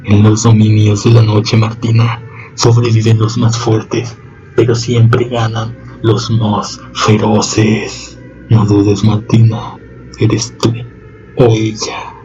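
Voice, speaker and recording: A man hosting a horror radio show, speaking slowly in an ominous, theatrical manner. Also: fairly low pitch, monotone, lo-fi sound, music in the background. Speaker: host.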